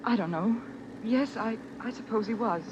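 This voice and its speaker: A woman acting a grieving, lovesick, anxious widow in old-time radio drama, with halting, melodramatic delivery. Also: on the high side, dull audio, noisy background, close to an everyday voice. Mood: subdued, evasive. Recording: old radio recording with music. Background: dramatic music.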